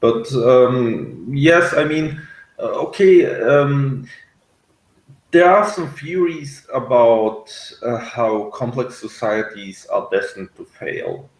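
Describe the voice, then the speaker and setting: A man in casual discussion, speaking hesitantly and often pausing to search for words. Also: medium pitch, expressive, vocal fry, roomy sound, poor audio. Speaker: podcast reviewer and discussion panelist.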